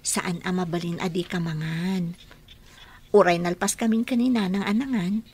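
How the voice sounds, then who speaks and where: A woman in a radio drama playing a caring young fiancée who fusses gently over her man. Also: lowish voice, fairly even intonation, normal speed, husky.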